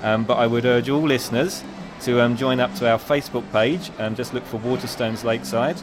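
A bookshop manager talks politely, hedging with little fillers. He is recorded in a noisy public shop with chatter and movement behind him. His voice sits at a middle pitch. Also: fairly even intonation, brisk. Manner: polite, slightly hesitant with fillers. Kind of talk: casual interview. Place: busy shopping centre store.

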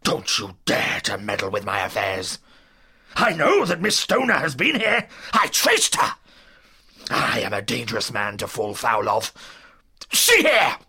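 Raspy, bellowing old villain. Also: male voice, medium pitch, huge pitch swings, measured pace, sharp tone, heavily acted. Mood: threatening rage. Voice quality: rasping, snarling. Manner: bellowing, threatening. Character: huge, furious old doctor, a menacing, blustering villain. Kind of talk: audio drama acting.

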